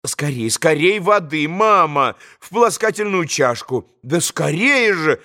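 A man's voice: a little high, expressive, measured pace, a bit bright. A man reading an audiobook and voicing an imperious, nervous young girl who gives commanding, impatient orders. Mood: commanding, frantic. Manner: commanding, urgent, impatient outbursts.

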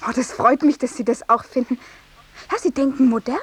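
Naive young lady, high breathy voice, girlish n gushing, coquettish, old radio drama. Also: fairly quick. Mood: gushing, confiding. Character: dreamy, naive young general's daughter, coquettish. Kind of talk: 1950s German radio play dialogue.

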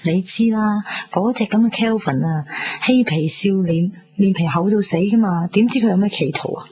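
An actress playing a brash, gossipy young best friend in a radio drama, chatty and teasing with a Hong Kong Cantonese accent. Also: female voice, medium pitch, moderate pace, dark tone, close to an everyday voice. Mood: gossipy, indignant. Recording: dull lo-fi 1990s radio with hiss.

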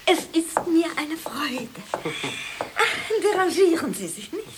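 Shrill, gushing minister's wife. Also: female voice, very animated, slow, heavy background noise, exaggerated voice. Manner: gushing, echoing others' words. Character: gushing minister's wife who parrots her husband. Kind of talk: farcical radio play acting.

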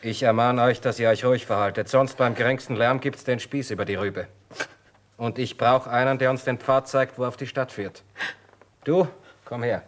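Actor as curt, threatening army officer. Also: male voice, medium pitch, steady tone, moderate pace, lightly acted. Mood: commanding. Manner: curt, commanding. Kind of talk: radio drama acting.

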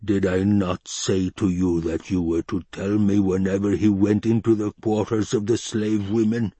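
Man doing a sinister baron, silken and slow, low husky voice, dry close sound. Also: level tone, mellow, dry recording. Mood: silken menace. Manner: slow, silken menace broken by roars. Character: menacing obese male baron, silken then roaring.

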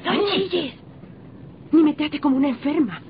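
A headstrong, impatient wife in a vintage radio horror play. Her voice sits a bit high and presses insistently. The sound is dull, with clear noise. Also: lively intonation, normal speed. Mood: irritated. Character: impatient, determined wife bent on investigating. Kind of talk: old radio horror drama acting. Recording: muffled, very noisy old radio recording.

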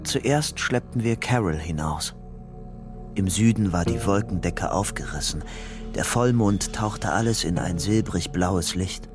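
A man's voice: sits low, a little slow, over music. An actor playing a young fugitive who narrates his grim story soberly and tensely in short sentences for a radio crime drama.

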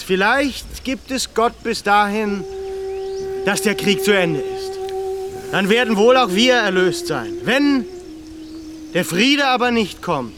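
An actor playing a resolute leader who rallies villagers to resist, with impassioned oratory, in a radio drama. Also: male voice, very high-pitched, noisy background. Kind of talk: German radio drama acting.

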